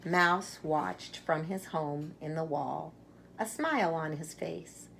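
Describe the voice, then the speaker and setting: A woman reads a children's storybook aloud at a very slow pace, in a slightly low voice with a creaky edge. She is expressive, leaning on key words and pausing for young listeners. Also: a little hiss or hum. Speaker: storybook reader.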